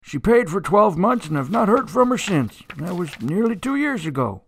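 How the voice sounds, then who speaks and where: An actor playing a glib, obliging storage facility owner in a radio drama, eager to please. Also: male voice, sits high, very animated, normal speed, vocal fry, husky, exaggerated voice. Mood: glib, helpful.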